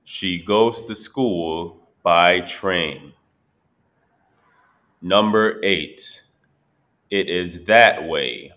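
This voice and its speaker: A man slowly reading English listening test items, repeating each word and sentence deliberately. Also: low voice, pushed and bright. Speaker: test reader. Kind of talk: slow scripted exam dictation.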